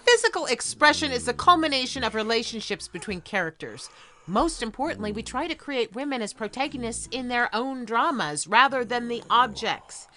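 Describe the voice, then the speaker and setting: A woman's voice: a little high, expressive, moderate pace, bright, forceful tone, slight background noise. An actress playing a defensive, articulate woman writer in an audio drama, with emphatic, exasperated delivery. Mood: indignant, emphatic. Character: defensive, articulate female writer of women's erotica. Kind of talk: stage-style audio drama acting.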